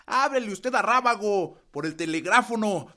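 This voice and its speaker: An actor playing a mischievous, rowdy, loyal Mexican revolutionary sidekick in a radio drama. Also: male voice, sits high, expressive, moderate pace, bright, forceful tone. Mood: mischievous glee.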